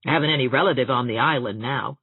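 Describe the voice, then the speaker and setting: A woman's voice: very deep voice, quick pace, slightly rough, no room sound, phone-quality sound, exaggerated voice. A woman voicing a cheerful, teasing, self-mocking young man in a storybook reading. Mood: wry, chatty.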